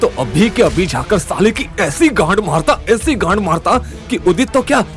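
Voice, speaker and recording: A man's voice: sits high, lively intonation, moderate pace, over music, pitched above the performer's own voice. A man voicing a hot-headed, righteous young student in an audio story, indignant and swearing. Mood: angry.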